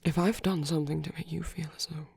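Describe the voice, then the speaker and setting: A performer voicing a gentle, slightly grumpy, possessive supernatural lover in an ASMR romance roleplay, speaking softly and tenderly with hesitant pauses and frequent whispering. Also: medium pitch, fairly even intonation, no echo. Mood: gentle, concerned. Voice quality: soft, breathy, often whispered. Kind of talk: ASMR romance roleplay, intimate one-sided dialogue.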